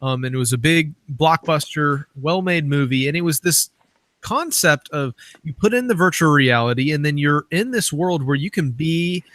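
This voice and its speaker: Guy hosting an interview, kinda high voice, dry close sound, chatty and rambly. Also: expressive, normal speed, fairly bright tone, no room sound. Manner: informal, rambling lead-ins to questions. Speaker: interviewer, host. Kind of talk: casual conversational interviewing.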